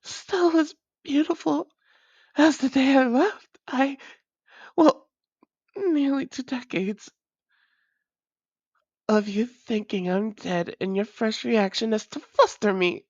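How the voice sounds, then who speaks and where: A woman playing a wry, doting female vampire lover in an intimate audio roleplay, speaking in a measured, formal way with teasing endearments. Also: high-pitched, a little mellow, no room sound, dull audio, heavily acted. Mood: flustered, tender.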